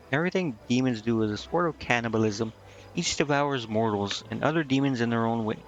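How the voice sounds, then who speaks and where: A man's voice: mid-range voice, vocal fry. Muffled, hesitant man streaming a game. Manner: hesitant, stumbles over words while reading. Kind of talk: casual livestream commentary, reading game dialogue aloud. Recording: slightly muffled, music behind. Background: game music.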